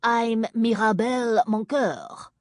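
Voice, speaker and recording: A woman voicing a glamorous, scornful French woman in her late fifties, drawling slowly with a thick French accent. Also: on the high side, no echo, clean studio sound, pitched above the performer's own voice. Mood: haughty. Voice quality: slow, drawling, contemptuous.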